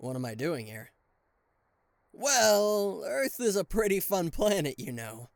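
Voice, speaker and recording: Male actor as cocky devil king boyfriend, playful, affectionate, theatrical, slow unhurried delivery, very animated pitch, ASMR roleplay. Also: creaky, no echo, studio quality, heavily acted. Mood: teasing, coy. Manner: theatrical, playful, with teasing pauses and stammers. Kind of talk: ASMR roleplay addressed to the listener.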